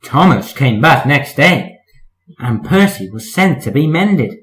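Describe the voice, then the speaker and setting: A man's voice: mid-range voice, sing-song, slow pace, a bit bright. A man narrating a scripted children's story as a storyteller.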